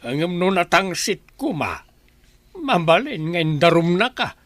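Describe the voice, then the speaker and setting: A man's voice: high-pitched, sing-song, measured pace, a bit bright, no room sound, exaggerated voice. A man playing a pious villager who admonishes others earnestly with rhetorical questions in an Ilocano drama. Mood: earnest, admonishing. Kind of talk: Ilocano radio-style drama acting.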